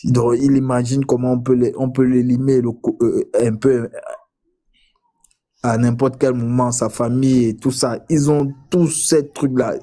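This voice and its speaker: A man from Cameroon with a dark, mellow voice talks through an online debate stream. He restarts his sentences, repeating words before he gets going. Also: mid-range voice, little pitch movement, normal speed. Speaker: live debate participant. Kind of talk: casual online debate chat.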